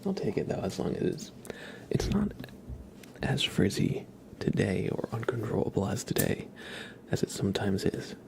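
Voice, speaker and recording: A man hosting an ASMR livestream, speaking in soft whispers with a gentle, playful manner. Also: lowish voice, very animated, normal speed, creaky, long pauses, a little hiss or hum. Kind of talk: ASMR, whispered roleplay and chat with viewers.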